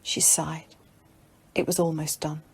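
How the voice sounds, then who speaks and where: A woman reading scripted fiction as an audiobook narrator, in a measured, dramatic storytelling manner. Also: deep voice, flat delivery, creaky, husky, dry recording.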